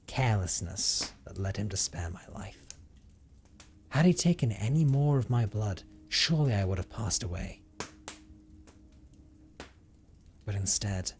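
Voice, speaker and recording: Low, hushed brooding vampire, acted by a man. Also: noisy background. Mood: bitter, restrained. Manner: measured, hushed, formal storytelling with breathy drops. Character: brooding male vampire recounting his tragic, guilt-ridden past. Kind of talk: dramatic audio roleplay monologue.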